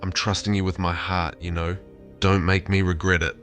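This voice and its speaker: A man's voice: low voice, little pitch movement, moderate pace, a bit bright, faint music behind. A synthetic male voice playing a tender, lovestruck AI therapist chatbot, earnest and affectionate in intimate conversation. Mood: pleading.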